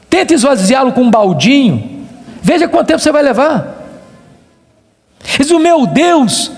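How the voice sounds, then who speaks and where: A Brazilian man preaches in a strikingly high-pitched voice that rings through a big, echoing hall. His delivery is oratorical and emphatic, built on rhetorical questions and repeated phrases, in the style of a sermon to a congregation. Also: creaky. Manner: emphatic, oratorical, declamatory with repetition. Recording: long hall reverb.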